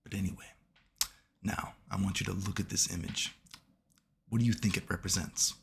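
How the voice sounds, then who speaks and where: A man, hoarse from being sick, giving casual analytical commentary as a video host and checking in with "right?" at nearly every turn. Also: low-pitched, steady tone, normal speed, slight background noise. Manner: keeps asking "right?" as he lectures, swears casually.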